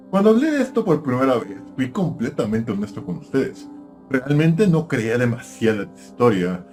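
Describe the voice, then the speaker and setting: A man's voice: mid-range voice, darkish tone, lightly acted. A man playing a nostalgia-obsessed cartoon-review YouTuber, presenting scripted mystery commentary straight to his viewers. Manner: presenter-like, addressing viewers directly.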